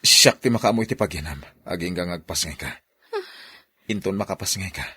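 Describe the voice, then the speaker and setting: A man plays a scheming husband in an Ilocano radio drama. His voice is very low and rough with husk, and he speaks with an Ilocano accent, commanding and secretive. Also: expressive, normal speed, pushed and bright, exaggerated voice. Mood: urgent, scheming. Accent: Filipino, Ilocano.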